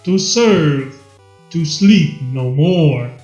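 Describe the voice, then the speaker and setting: A man's voice: on the high side, animated, extremely slow, a little mellow, reverberant, dull audio, faint music behind. A man voicing a theatrical robot who declaims a grandiose, anguished Shakespearean soliloquy in a fan fiction reading. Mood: grandiose, questioning. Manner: grandiose, declamatory stage delivery.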